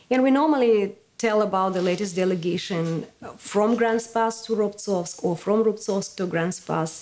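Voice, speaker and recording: A woman's voice: lowish voice, a little mellow. A Russian-born woman with a Russian accent, a native Russian speaker, answering in an earnest documentary interview with slightly non-native phrasing.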